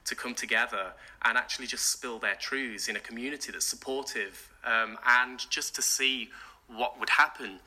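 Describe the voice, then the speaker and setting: A man's voice: medium pitch, moderate pace, bright, forceful tone. A man whose first language was British Sign Language, a guest in a casual radio interview, talking in a rambling way with many fillers and restarts. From England. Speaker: event organizer, guest.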